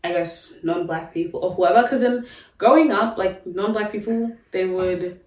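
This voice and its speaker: A woman's voice: mid-range voice, normal speed, recorded from a distance. A young woman guest on a podcast, chatting casually and filling her talk with likes. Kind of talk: casual chat. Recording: roomy echo, off mic.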